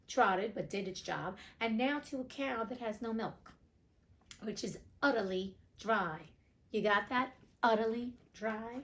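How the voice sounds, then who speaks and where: A woman reading a storybook aloud as host, breaking off for chatty, informal, joking commentary. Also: medium pitch. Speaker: reader and host of a classics read-aloud show.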